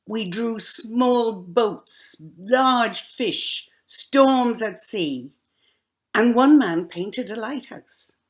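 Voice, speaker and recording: A woman reciting a poem in a solemn, deliberate manner with dramatic pauses. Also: medium pitch. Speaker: actor reading poetry. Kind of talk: poetry recital.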